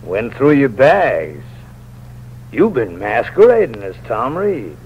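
County sheriff, unhurried, expressive swings, dry, blunt, old radio crime drama. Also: male voice, mid-range voice, exaggerated voice. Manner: deliberate, dry, fatherly address. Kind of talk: 1940s radio crime acting. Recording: muffled old radio, faint hum.

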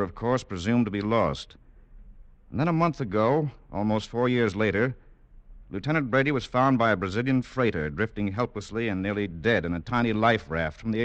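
An actor playing a composed, skeptical military doctor in vintage radio drama, speaking in a measured, formal way. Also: male voice, on the low side, a bit bright, dry recording, close to an everyday voice. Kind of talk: 1940s-50s radio drama narration and acting.

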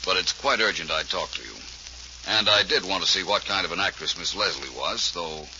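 A shrewd radio detective with a low, deep voice. He is measured, urbane and dryly courteous, coolly probing, on a muffled, hissy 1940s recording. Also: lively intonation.

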